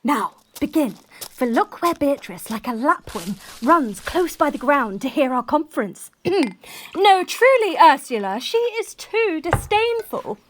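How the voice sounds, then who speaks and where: A woman playing a lively, mischievous young gentlewoman in a Shakespearean radio play, with theatrical, emphatic delivery. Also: high-pitched, a little slow, a little hiss or hum. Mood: conspiratorial, brisk. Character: lively scheming young gentlewoman about to be married. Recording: recorded separately at home.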